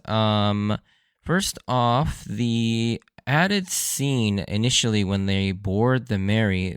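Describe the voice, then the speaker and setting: Guy doing his podcast, kinda hoarse but smooth low voice, chatty, super dry close sound. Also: no room sound. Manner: conversational, with frequent fillers and light laughs. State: voice a little hoarse. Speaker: podcast host. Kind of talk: solo podcast episode review.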